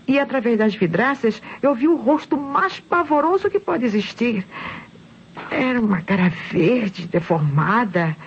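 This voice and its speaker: A frightened widow, muffled old radio. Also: female voice, fairly high pitch, a little mellow, a little hiss or hum. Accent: Brazilian. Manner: fearful, dramatic, deliberate storytelling. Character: frightened widow, a dramatic eyewitness to horror. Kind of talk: vintage Brazilian radio mystery acting.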